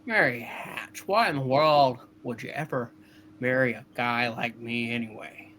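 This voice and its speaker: A man playing an earnest, frustrated small-town young husband, halting and emotional, in a hammed-up radio play reading. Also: medium pitch, bright, forceful tone, a little hiss or hum. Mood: dejected. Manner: halting, emotional, repeating himself.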